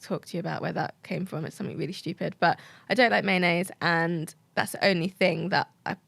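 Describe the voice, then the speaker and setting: British woman with a creaky voice. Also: lowish voice, fairly even intonation, fairly quick, fairly bright tone, no room sound. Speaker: podcast guest. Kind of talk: casual chat.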